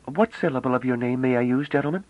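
A man reading a novel aloud, voicing a chatty, naive young woman who talks rapidly and almost breathlessly. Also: medium pitch, no room sound, pitched above the performer's own voice, natural voice. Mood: eager. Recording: dull lo-fi dry audio.

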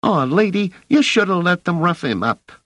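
A man's voice: high-pitched, sing-song, normal speed, a bit bright, no room sound, pitched above the performer's own voice, heavily acted. A cocky slangy street boy. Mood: cheeky. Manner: slangy, wheedling. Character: cocky street-smart young boy guide.

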